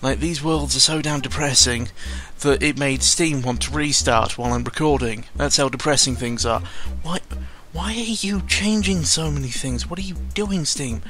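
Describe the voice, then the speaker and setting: Bright-voiced man rambling about video games. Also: mid-range voice, normal speed, hardly any pauses. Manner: rambling, self-deprecating, sweary. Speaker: gaming video creator. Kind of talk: casual unscripted gaming commentary. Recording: music behind the voice. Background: background music.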